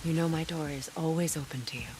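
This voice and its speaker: A woman playing a wise, sorrowful, motherly witch in a video game, speaking in a measured, solemn and tender way. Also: low voice, noisy background, natural voice. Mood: gentle, wry. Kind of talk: dramatic video game cutscene acting.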